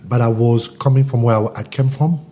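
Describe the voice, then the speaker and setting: A man's voice: mid-range voice, fairly even intonation, moderate pace, mellow, slight background noise. A man from Central Africa, raised in France, speaking candidly and reflectively as a guest, often restarting his sentences. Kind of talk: casual interview.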